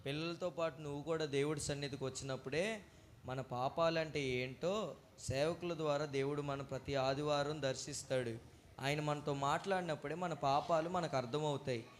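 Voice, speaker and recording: A man hosts a live church puppet show in a fairly high voice. He questions, exclaims and gently admonishes. There is some noise in the recording. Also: steady tone, normal speed.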